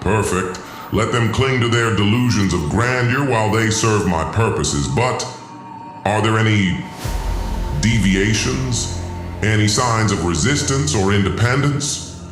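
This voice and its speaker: A man's voice: on the low side, measured pace, music in the background, lightly acted. A man voicing an arrogant, scheming god-lord in an audio drama, pompous, commanding and theatrical. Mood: coldly pleased. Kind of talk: first-person audio drama acting.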